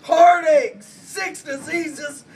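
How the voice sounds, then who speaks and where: A middle-aged man giving a rowdy comic wedding toast from cards, shouting and laughing through it, recorded on a distant phone in a crowded party room. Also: very high voice, fairly even intonation, drawn-out words, very noisy. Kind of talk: comic roast-style toast read from cards. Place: indoor party venue, crowded. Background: party crowd chatter and laughter.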